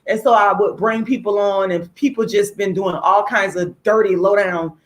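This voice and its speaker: A woman hosting a casual livestream, speaking to viewers in an informal, outspoken, emphatic way with a slightly hoarse voice. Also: medium pitch, steady tone, moderate pace. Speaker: livestream host, activist.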